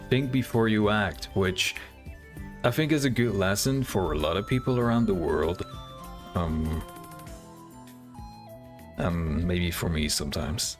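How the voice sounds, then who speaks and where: A man's voice: sits low, lively intonation, a little slow, long pauses. A man giving casual, laid-back reaction commentary on game trailers, musing as he goes. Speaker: gaming video creator. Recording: music behind the voice. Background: game trailer music.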